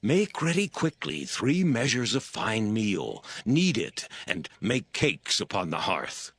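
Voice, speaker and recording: An actor playing a humble, earnest, reverent old patriarch in a dramatized scripture reading. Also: male voice, lowish voice, very animated, slow, fairly bright tone, no echo, heavily acted. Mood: hospitable, eager. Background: music.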